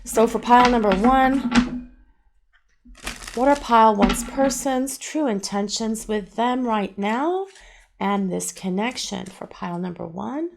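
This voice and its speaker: Calm middle-aged woman reading tarot. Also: on the high side, vocal fry, noisy background. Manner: calm, measured, gently repetitive. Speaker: tarot reader. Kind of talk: pick-a-card tarot reading.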